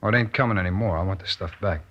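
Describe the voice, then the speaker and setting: A bitter small-time crook from old radio, low voice, fast slangy talk, muffled sound. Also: male voice. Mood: angry, insistent. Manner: slangy, gruff, aggrieved. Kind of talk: 1950s radio police drama acting. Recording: dull vintage radio recording.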